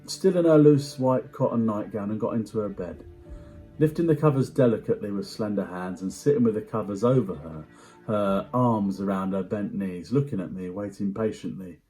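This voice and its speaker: A man giving a dramatic first-person story reading in a hushed, measured way with deliberate pauses. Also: lowish voice, faint music behind. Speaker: amateur actor performing a story reading.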